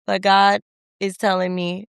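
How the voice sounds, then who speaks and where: Young woman on a faith podcast, clear voice, dry close mic, thoughtful and agreeing. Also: mid-range voice, monotone, a little slow. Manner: reflective, affirming and echoing her co-host. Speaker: podcast co-host. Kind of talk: faith conversation.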